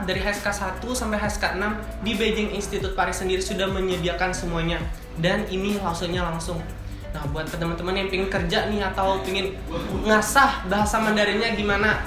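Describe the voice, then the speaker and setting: A woman from Nusa Tenggara Barat presenting a promo video in a lively, inviting way. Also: medium pitch, echoey room. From Nusa Tenggara Barat, Indonesia. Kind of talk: upbeat promo hosting.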